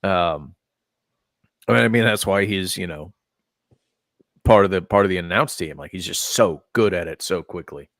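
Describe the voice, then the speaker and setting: A man's voice: low-pitched, moderate pace, pauses often, fairly bright tone, no room sound, studio quality. A man from Texas hosting a casual chat podcast, rambling with lots of fillers and restarts. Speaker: podcast host. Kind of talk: casual chat about wrestling and gaming.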